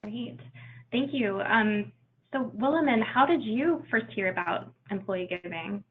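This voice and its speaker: A woman's voice: mid-range voice, measured pace, breathy edge. Appreciative woman moderator on a phone-like line. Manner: appreciative, thanks speakers often. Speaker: webinar moderator. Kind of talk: moderating a webinar.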